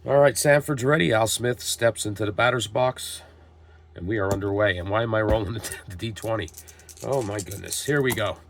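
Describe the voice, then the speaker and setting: Low-voiced man calling a dice baseball game. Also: a little fast. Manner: steady, reads lineups and calls plays like a broadcaster. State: getting over a cold, voice strained. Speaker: tabletop baseball game host. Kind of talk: play-by-play narration of a dice game.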